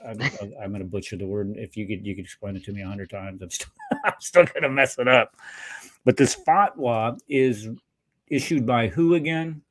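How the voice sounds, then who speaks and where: A man's voice: fairly low pitch, animated, moderate pace. A man hosting a casual online discussion, talking in an easygoing, chatty way. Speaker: host.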